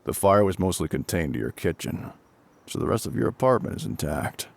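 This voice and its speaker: A man playing a gruff, calm fire captain in an intimate audio roleplay, with a husky, gravelly voice and a measured, reassuring, matter-of-fact way of talking. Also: low voice. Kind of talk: intimate scripted audio roleplay spoken to the listener.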